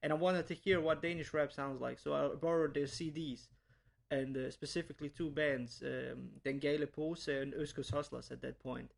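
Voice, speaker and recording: A man's voice: on the high side, steady tone, normal speed, vocal fry. A young man from Bosnia, a language teacher, answering interview questions earnestly with many restarts and repeated words.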